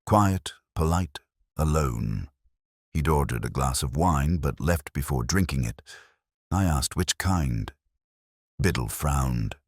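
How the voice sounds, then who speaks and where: A man voicing a wry, literary middle-aged male detective, narrating a mystery in a measured, reflective first person. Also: a bass voice, expressive, breathy edge, no echo, studio quality, heavily acted. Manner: measured, reflective, quietly observant.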